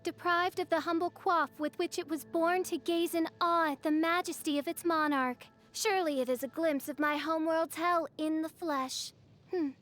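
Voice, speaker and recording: A woman's voice: extremely high pitch, steady tone, normal speed, fairly bright tone. A woman voicing a haughty, theatrical teenage girl who styles herself a gothic princess, speaking in pompous, archaic phrases for a video game. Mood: melodramatic. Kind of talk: English video game character voice lines.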